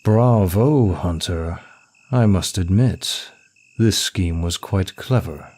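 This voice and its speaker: A man playing a suave, smug male demon of lust in an ASMR roleplay, with a teasing, deliberate, seductive delivery. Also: low-pitched, darkish tone, a little hiss or hum. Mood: intrigued, coolly impressed.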